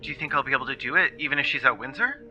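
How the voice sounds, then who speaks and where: A band-limited, radio-like recording of a delusional young would-be assassin voiced by a man in a chat re-enactment. The voice is very sharp and quick, with music behind. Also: mid-range voice, expressive, lo-fi sound. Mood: grimly intent.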